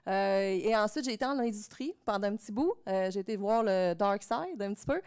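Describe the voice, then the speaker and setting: Woman, middle-aged, Québécois accent, smooth clear voice, relaxed chatty manner. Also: medium pitch. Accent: Québécois French. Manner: relaxed and chatty, quick self-deprecating remarks. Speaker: professor panelist. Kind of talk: casual panel talk. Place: conference hall stage.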